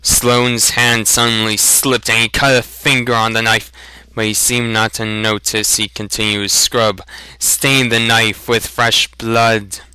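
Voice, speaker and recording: A man narrating a fantasy story as a dramatic reading, with a deliberate, slightly halting amateur delivery. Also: fairly low pitch, little pitch movement, sharp tone, smooth, no echo, low-quality recording.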